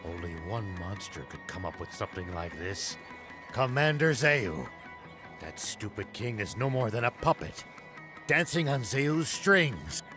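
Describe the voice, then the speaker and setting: A man playing a stern, principled older ex-soldier in a video game, speaking gravely and with resolve. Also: lowish voice, sing-song, unhurried, over music. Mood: grim resolve. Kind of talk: video game dramatic acting.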